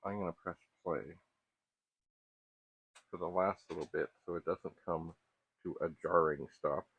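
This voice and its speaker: Deep, gravelly man musing aloud. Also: fairly even intonation. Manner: musing aloud, halting, self-questioning. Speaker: host of a vintage computer repair channel. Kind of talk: casual unscripted hands-on tech commentary. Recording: muffled, phone-like narrow band.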